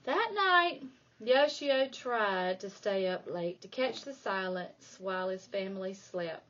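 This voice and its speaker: A woman reading a children's storybook aloud like a primary school reader, with dramatic emphasis and drawn-out key words. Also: medium pitch, expressive, a bit bright, lo-fi sound. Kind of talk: expressive children's storybook narration.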